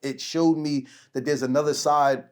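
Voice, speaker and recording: A man hosting a podcast, telling stories in a rambling, animated way and often pausing to search for names. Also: a little high. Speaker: podcast host. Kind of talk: casual reminiscing chat.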